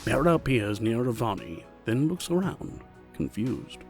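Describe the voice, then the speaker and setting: A man narrating a scripted audio fiction story in a formal, measured manner. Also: medium pitch, sing-song, soft background music.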